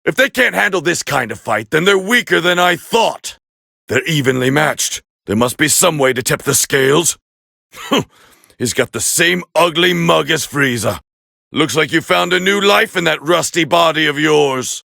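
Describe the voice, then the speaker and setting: A man voicing a proud, scornful alien warrior prince as battle commentary in an anime fighting game, with a harsh, gravelly growl and a haughty, clipped delivery. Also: fairly high pitch, expressive, a little slow, bright, forceful tone, no room sound, clean studio sound, exaggerated voice. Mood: grudgingly impressed.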